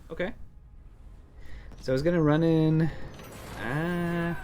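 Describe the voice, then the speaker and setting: A man giving casual strategy commentary on a card game, thinking out loud in musing asides. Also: on the high side, steady tone, slow pace, a little hiss or hum. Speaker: game streamer. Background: game music.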